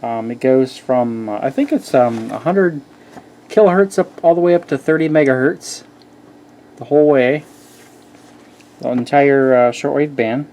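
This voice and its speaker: A man giving a casual, halting walkthrough as a hobbyist reviewer, pausing often as he goes. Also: medium pitch, a little mellow. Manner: halting, hesitant delivery with frequent pauses.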